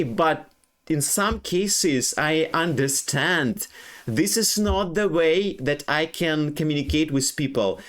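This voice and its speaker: A young Ukrainian man with a Ukrainian accent, speaking English as a second language in a halting personal monologue full of fillers and restarts. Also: a little high. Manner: halting, many fillers and restarts, non-native grammar. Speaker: streamer.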